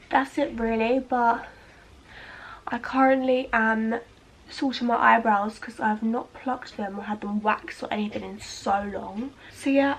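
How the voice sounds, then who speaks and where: A woman's voice: on the high side, a little hiss or hum, rough recording. Chatty young British woman with a stuffy nose. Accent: British English. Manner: chatty, rambling, filler-heavy. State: congested, blocked nose. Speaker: vlogger. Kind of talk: casual chat to camera. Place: at home, indoors.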